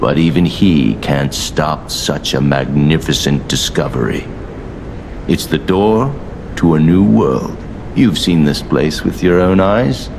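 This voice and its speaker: An actor playing a grandiose, condescending alchemist leader in a video game, speaking with measured, mock-courteous pomp. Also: male voice, very deep voice, gravelly, background noise, heavily acted. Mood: smug, courteous. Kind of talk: theatrical video game villain monologue.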